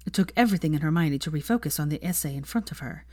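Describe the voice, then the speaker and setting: A woman's voice: deep voice, lively intonation, racing, darkish tone, no echo, clean studio sound. A woman reading scripted fiction aloud as a story narrator.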